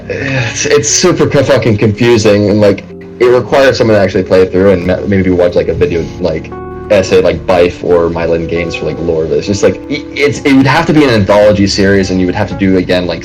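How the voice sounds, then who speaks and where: A man co-hosting a casual panel podcast, explaining things in a halting, rambling way and swearing freely. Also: low voice, darkish tone, poor audio. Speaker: podcast co-host.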